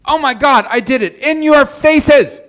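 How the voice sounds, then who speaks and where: A woman's voice: high voice, unhurried. A woman playing a competitive, easily wounded bowler in a live comedy table read, indignant and urging herself on. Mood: triumphant. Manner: indignant, self-coaching.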